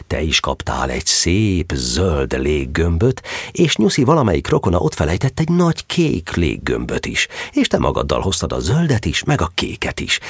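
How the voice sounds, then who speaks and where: Male narrator for a children's tale: very low, husky, with lively intonation and expressive scripted delivery. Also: dry recording, clean studio sound. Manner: expressive storytelling.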